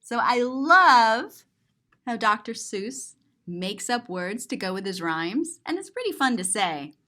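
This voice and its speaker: Female children's storybook reader, high voice with lively swoops, playful and expressive, describes pictures simply. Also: slow. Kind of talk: animated read-aloud to children, describing pictures.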